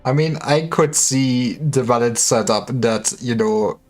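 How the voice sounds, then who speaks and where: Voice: mid-range voice, unhurried. A livestreamer giving casual, wry commentary on a game, with joking asides. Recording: faint music behind. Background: game music.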